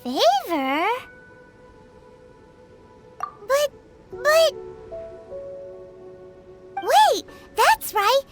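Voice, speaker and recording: Tiny excitable fairy sidekick for a video game: childlike squeak, very animated, unhurried delivery. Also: female voice, soft background music, exaggerated voice. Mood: flustered eagerness. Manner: squeaky, exclaiming, speaks of herself in third person. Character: tiny excitable childlike female fairy companion, cheerful and greedy.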